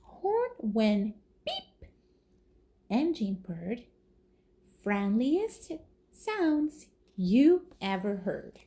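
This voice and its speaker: A woman reading a children's storybook aloud as a toddler teacher, in an expressive manner. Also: on the high side. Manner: slow, animated, expressive.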